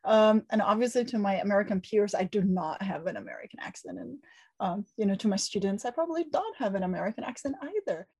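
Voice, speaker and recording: A woman's voice: medium pitch, lively intonation, fast, dark tone, husky. Reflective woman professor from Italy. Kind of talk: reflective interview talk.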